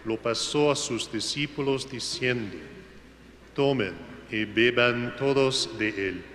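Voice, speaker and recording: A man leads the Mass as priest, praying in a measured, solemn recitation. He speaks at an unhurried pace, his voice carried by the long reverb of a church. Steady background noise sits under it. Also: mid-range voice. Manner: slow, solemn liturgical recitation.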